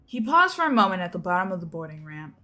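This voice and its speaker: A woman's voice: on the low side, very animated, quick pace, sharp tone. A young woman narrating a dramatic reading of a fan-fiction story. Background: music.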